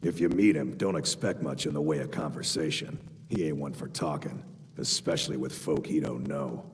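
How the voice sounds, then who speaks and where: An actor playing a plain-spoken rustic Nord war veteran in a video game, with folksy grammar. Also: male voice, very deep voice, normal speed. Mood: gruff, matter-of-fact. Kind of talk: video game character dialogue. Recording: long hall reverb, music behind.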